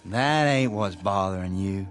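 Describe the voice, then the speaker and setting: A man's voice: sits low, unhurried, soft background music. A man playing a folksy, easily wounded young suitor with a rural American accent in a radio drama. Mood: hurt, insistent. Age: young adult.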